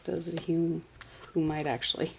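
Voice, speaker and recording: A woman's voice: low-pitched, little pitch movement, moderate pace, creaky, very noisy. A woman in her thirties, congested, chatting casually as she swatches and compares nail polish, often dropping to a whisper while she works. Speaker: nail art vlogger.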